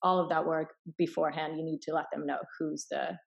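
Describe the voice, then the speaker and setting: Slightly low-voiced woman, casual, non-native English. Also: flat delivery, a little fast, vocal fry, breathy edge, lo-fi sound. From Finland-born, raised in Sweden. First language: not English, which is a third language. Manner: informal, acts out quoted dialogue. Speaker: interview guest, property investor. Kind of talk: casual conversational explaining.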